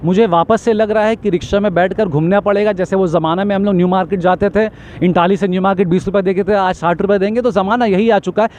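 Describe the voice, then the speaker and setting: A man's voice: high voice, fairly even intonation, quick pace, a little mellow, dull audio, a little hiss or hum. A man giving an impassioned street interview, talking emphatically in long repetitive lists and stammering when agitated.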